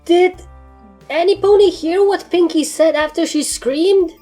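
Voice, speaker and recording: A woman's voice: extremely high pitch, unhurried, mellow, soft background music, voice pushed up. A woman voicing an anxious pony with a dry, wry manner in a fan fiction story reading. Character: anxious, sarcastic pony with a wary streak.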